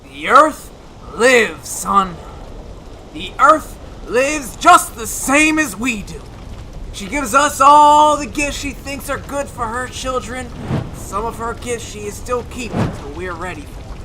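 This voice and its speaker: Stern ghost prophet in old radio horror, high pitched, solemn and commanding, noisy audio. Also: male voice, animated, pushed and bright, heavily acted. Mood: solemn, prophetic. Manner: solemn, commanding, drawn-out proclaiming. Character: eerie dead old friend, stern prophetic male ghost. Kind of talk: old-time radio horror drama acting. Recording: clearly noisy radio drama recording.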